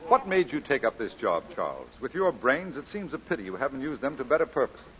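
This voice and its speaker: An actor playing a genial, romantic English lord with an urbane, courtly manner in old-time radio drama. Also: male voice, mid-range voice, sing-song, quick pace, fairly bright tone. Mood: composed. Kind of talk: 1940s radio acting. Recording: dull, faintly noisy vintage radio recording.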